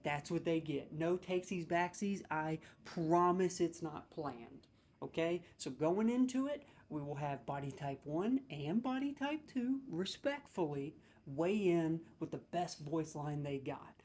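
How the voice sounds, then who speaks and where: A man hosting a comedic gaming video, talking in an excitable, rambling, sarcastic way and swearing freely. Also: on the high side, measured pace.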